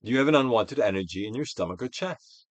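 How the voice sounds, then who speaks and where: A man giving a theatrical, Shakespearean-style dramatic reading of a self-help book, with deliberate pauses. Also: on the low side, animated, no room sound. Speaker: audiobook reader, actor.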